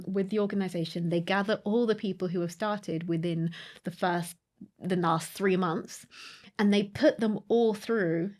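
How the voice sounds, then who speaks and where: A woman's voice: medium pitch, darkish tone. A woman co-hosting a podcast, recalling her career in a reflective, conversational way with frequent ums. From United Kingdom. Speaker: podcast co-host.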